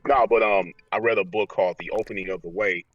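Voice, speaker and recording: A man's voice: lowish voice, steady tone, quick pace, distant mic, dull audio, a little hiss or hum. A man speaking as a motivational guest on a remote call, explaining conversationally and earnestly, repeating phrases for emphasis. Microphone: earpiece on a remote call.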